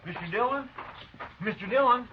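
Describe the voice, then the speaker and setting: An actor playing an earnest, anxious, deferential deputy in a radio western. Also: male voice, extremely high pitch, moderate pace. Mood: urgent. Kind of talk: 1950s radio western acting. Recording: dull, noisy vintage radio recording.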